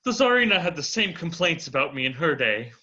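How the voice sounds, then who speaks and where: A man's voice: fairly high pitch, sing-song, brisk, bright, forceful tone. A man playing a witty, unflappable Russian count on trial in an amateur dramatic reading, formal, eloquent and dryly flippant. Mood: wryly unruffled. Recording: dull, muffled lo-fi recording.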